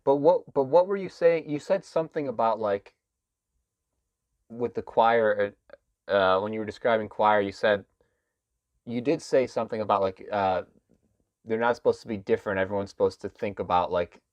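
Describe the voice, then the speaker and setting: A man hosting a podcast who asks thoughtful questions in a halting way, full of fillers and restarts. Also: lowish voice, no echo, highs cut off. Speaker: podcast host. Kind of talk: interview conversation.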